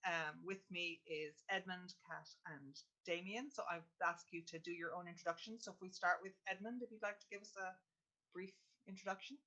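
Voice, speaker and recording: A woman's voice: on the low side, steady tone, fairly quick, pushed and bright, muffled recording. A woman moderating a convention panel. She is friendly and a little hesitant.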